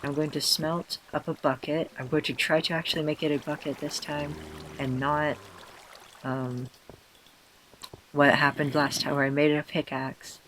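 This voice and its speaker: A man with a hoarse, tired voice, self-consciously rambling through casual gameplay commentary with many fillers and restarts. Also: a little high, little pitch movement, normal speed, fairly bright tone, slight background noise, poor audio. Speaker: let's player.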